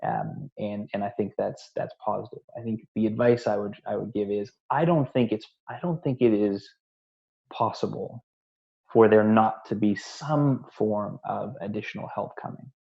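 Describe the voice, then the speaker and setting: A man with a fairly low, gravelly voice gives advice on a muffled, lo-fi conference call. He is plain-spoken and hesitates often as he talks. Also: moderate pace. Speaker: expert panelist giving advice. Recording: dull lo-fi conference call audio.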